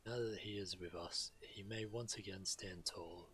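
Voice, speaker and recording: A proud walrus-folk chieftain from a fantasy game. The voice is very low and forceful, solemn and resolute in delivery. Faint ambient noise sits behind the words. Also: male voice, steady tone, a little slow. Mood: grave. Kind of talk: video game character dialogue.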